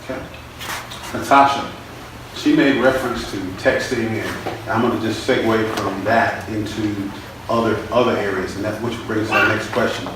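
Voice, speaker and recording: A man with a fairly low voice moderates a live panel, turning from one speaker to the next with friendly questions. He sounds distant from the microphone, in a very echoey hall with a steady hiss of background noise. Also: moderate pace. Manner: friendly, asks questions and calls on panelists by name.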